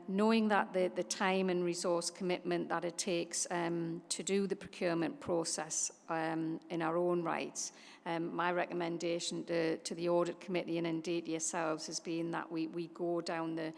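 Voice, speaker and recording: A woman's voice: on the low side, flat delivery, normal speed, vocal fry. A woman finance officer briefing a council meeting, formal and hesitant with many ums. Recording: echoey council chamber.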